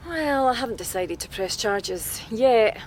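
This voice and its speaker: An actress playing a steady, coaxing Scottish police detective who questions people in a radio crime drama. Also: female voice, on the high side, a little slow, a little hiss or hum, low-quality recording. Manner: coaxing, probing.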